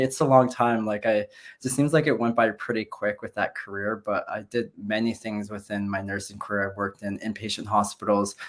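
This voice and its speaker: A man in his thirties, a guest in a casual video-call interview, talking in a friendly, rambling way with many uhs. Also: lowish voice. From Canada. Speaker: guest, nurse and business owner.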